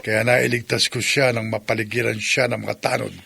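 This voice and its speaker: Horror radio villain: obsessive aswang suitor, gravelly, confident, melodramatic. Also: male voice, mid-range voice, little pitch movement, moderate pace, sharp tone, heavily acted. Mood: smug, possessive. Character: obsessive, scheming male aswang in love. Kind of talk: Philippine horror radio drama acting.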